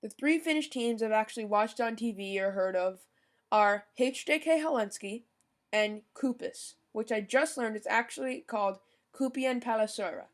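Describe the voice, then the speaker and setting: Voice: mid-range voice, moderate pace. A young podcast host giving a scripted informational rundown, now and then stumbling over foreign names and apologizing.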